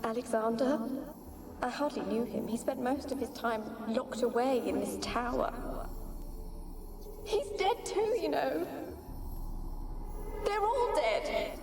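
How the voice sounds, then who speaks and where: Sad haughty ghost noblewoman in a game, high slow voice, echoey with music. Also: lively intonation, full character voice. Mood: desolate. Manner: haughty, plaintive, drawn-out. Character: mournful ghost of a proud young noblewoman. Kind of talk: video game voice acting.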